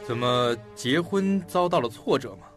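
A man's voice: medium pitch, expressive, normal speed, close to an everyday voice. A man acting in an audio drama as an impatient, skeptical detective, with a blunt, probing, theatrical delivery. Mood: skeptical, curious. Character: impatient, skeptical male detective questioning a colleague. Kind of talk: Chinese audio drama acting, interrogative dialogue. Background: faint background music.

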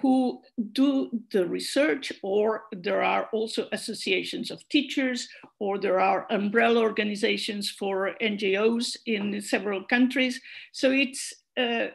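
A woman's voice: medium pitch, a little slow, creaky. A woman whose first language is Catalan, giving a hesitant conference presentation over a video call with many fillers and restarts. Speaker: conference speaker, network secretary general.

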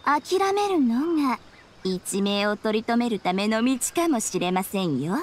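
Eerily polite, animated female host. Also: a little high, measured pace, background noise. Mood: mysterious. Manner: formal, teasing, storyteller-like. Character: polite, eerie female contest host who relishes scaring people. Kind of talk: anime game voice acting.

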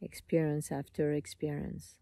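A meditation teacher leading a live guided meditation in an unhurried, soothing manner, in short phrases with long pauses. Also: medium pitch, completely flat delivery, gravelly, warm tone, dry recording.

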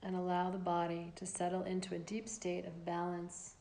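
A woman yoga teacher guides a relaxation practice in a fairly low voice with noticeable creak. She gives calm, gentle instructions in short measured phrases, leaving long pauses between them.

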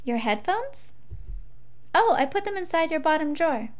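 A woman's voice: sits high, big pitch swings, normal speed. A woman voicing a worried, nagging mother in a visual novel, chiding and concerned.

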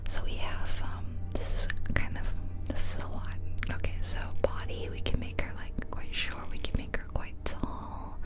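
A woman whispering softly and close to the microphone in casual, chatty ASMR commentary on a video game. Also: normal speed, few pauses. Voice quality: soft unvoiced whisper. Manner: casual, rambling, chatty asides. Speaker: ASMR creator. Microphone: close ear-to-ear ASMR microphone.

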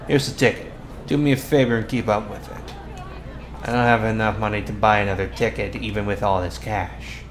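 A man's voice: lowish voice, steady tone, fairly quick, natural voice. Brusque teenage tsundere bully, played by a man, noisy ambience. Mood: bossy, gruff. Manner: brusque, bossy, sighing and muttering. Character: tsundere teenage school bully with a secret soft side. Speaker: ASMR roleplay voice actor. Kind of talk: ASMR boyfriend roleplay acting. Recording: clear background ambience noise.

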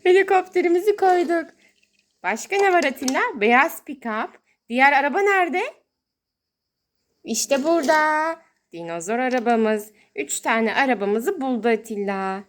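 High-pitched woman, sing-song talk to children. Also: lively intonation, moderate pace. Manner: sing-song, child-directed, exclaiming and drawing out words. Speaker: host, mother playing with her child. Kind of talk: toy-play narration for young children.

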